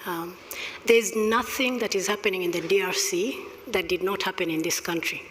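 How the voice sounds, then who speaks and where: A deliberate, emphatic panel speaker from Rwanda who drives each point home by repeating it. The voice sits at a middle pitch. It rings with the long reverb of a conference hall. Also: steady tone, fairly bright tone, slight background noise. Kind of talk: panel discussion answer.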